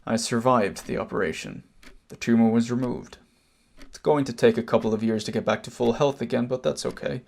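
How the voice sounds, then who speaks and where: A man acts out a wronged Irish brother in an amateur drama. His voice has a gravelly creak at a middling pitch. He lays out old grievances deliberately and pointedly, with bitter restraint. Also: a little mellow. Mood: hurt, resolute. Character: wronged older emigrant brother, cancer survivor, measured, bitter. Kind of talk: amateur stage drama acting, long monologue.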